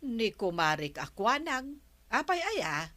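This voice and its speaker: A woman's voice: a little high, very animated, slow pace, sharp tone, no room sound, full character voice. A woman acting a village captain's wife in an Ilocano radio comedy drama, chatty and mildly scolding, with an Ilocano accent. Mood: defensive.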